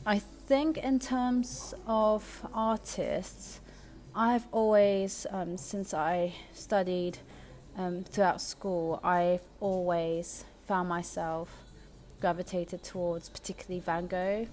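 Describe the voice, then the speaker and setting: A woman's voice: on the low side, fairly even intonation, slow pace, darkish tone. A young woman, born in Taiwan and raised in England, talking earnestly about her art and faith, with frequent ums and restarts. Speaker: artist.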